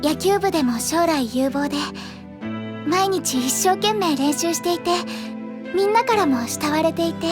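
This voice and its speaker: Very high, timid schoolgirl. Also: unhurried. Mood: earnest, wistful. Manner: apologetic, hesitant, very polite. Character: timid, polite orphaned younger sister, a schoolgirl. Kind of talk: audio drama acting. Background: background music.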